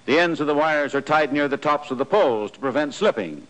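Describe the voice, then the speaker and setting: An American man with a fairly high-pitched voice reads scripted instructional narration in the manner of a 1940s training film. His delivery is formal and precise, setting out each point matter-of-factly. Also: expressive, a little fast, a bit bright, poor audio.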